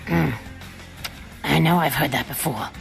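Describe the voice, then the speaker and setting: A woman's voice: sits low, creaky, faint music behind, heavily acted. A woman playing a world-weary but kindly diner waitress with an American accent in a video game. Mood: wary, dry. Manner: laconic, folksy, unhurried.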